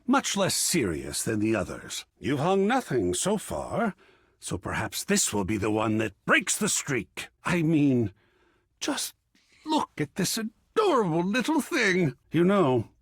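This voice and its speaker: Philosophical, effusive male art curator for a video game: hugely animated pitch, unhurried, dramatic musing delivery. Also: breathy edge, no echo, studio quality, heavily acted. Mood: warm, eager. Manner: savoring, dramatic, refined phrasing with musing pauses. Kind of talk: theatrical video game character dialogue.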